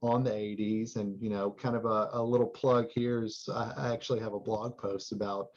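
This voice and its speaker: A man's voice: fairly low pitch, level tone, brisk, darkish tone. Earnest man from Texas, lo-fi sound. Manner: earnest, many fillers and restarts. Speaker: guest, life support and fire safety instructor. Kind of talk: podcast interview, explaining. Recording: dull lo-fi sound.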